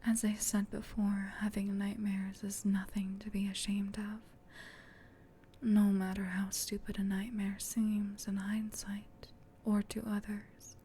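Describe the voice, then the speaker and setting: A woman voicing a gentle, caring sleep paralysis demon in a soft, breathy, reassuring whisper for an ASMR roleplay. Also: mid-range voice, completely flat delivery, slight background noise, lightly acted. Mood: tender, soothing. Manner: tender, reassuring, unhurried.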